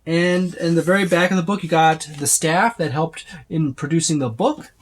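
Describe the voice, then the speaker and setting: A tabletop game reviewer giving a casual, unscripted overview, speaking haltingly with repeated words and trailing lists. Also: medium pitch. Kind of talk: casual unscripted book flip-through overview.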